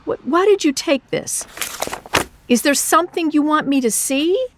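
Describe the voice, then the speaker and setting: Woman playing an earnest young boy, animated swoops. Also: sits high, unhurried, noisy background. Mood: worried, alarmed. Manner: questioning, exclaiming, coaxing. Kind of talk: radio play acting.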